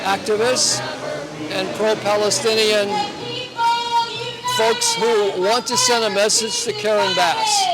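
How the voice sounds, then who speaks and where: A protester speaking indignantly and forcefully outdoors on a city street. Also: medium pitch, very animated, slow, pauses often, over a noisy crowd, very noisy. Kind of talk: rally speech.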